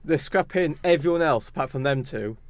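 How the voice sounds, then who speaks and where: A man's voice: on the high side, animated, brisk, no echo. Man chatting casually, close dry sound. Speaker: podcast co-host. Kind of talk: casual film news chat.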